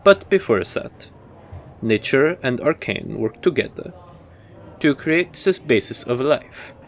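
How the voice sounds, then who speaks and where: A man playing a measured, scholarly university professor who lectures formally and deliberately in a fantasy audio roleplay. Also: medium pitch, expressive, a little hiss or hum. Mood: lecturing, measured. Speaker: professor giving a history lecture.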